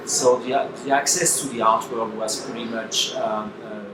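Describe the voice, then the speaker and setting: Halting French-accented man, echoey. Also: medium pitch, steady tone. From France. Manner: halting, searching for words. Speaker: painter. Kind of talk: personal account and speech. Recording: echoey and noisy, from far off.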